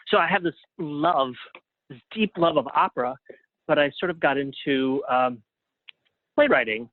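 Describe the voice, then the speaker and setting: A man being interviewed as a guest over a phone line, talking casually and a little haltingly, with a self-effacing manner. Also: mid-range voice, no room sound. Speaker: interview guest, playwright and librettist. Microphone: phone or call line. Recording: thin phone-line sound.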